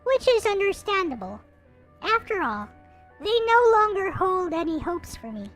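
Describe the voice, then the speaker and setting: A woman reading aloud as a despairing young visual novel narrator, with dramatic, deliberate recitation. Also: very high-pitched, sing-song, fairly bright tone, pitched above the performer's own voice, full character voice. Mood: bitter, despairing. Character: despairing young narrator losing eyesight, wistful and bitter. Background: game music.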